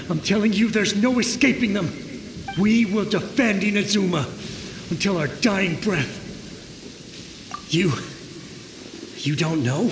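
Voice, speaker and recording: An actor playing a frightened young soldier's ghost in an anime game, speaking in halting, urgent, pleading bursts. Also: male voice, high-pitched. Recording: echoing, noisy, with rain and thunder behind.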